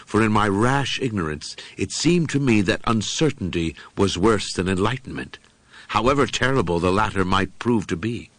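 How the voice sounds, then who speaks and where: A man narrating a first-person horror audiobook in a solemn, brooding, deliberate manner with dramatic pauses. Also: on the low side, animated, fairly bright tone, no echo.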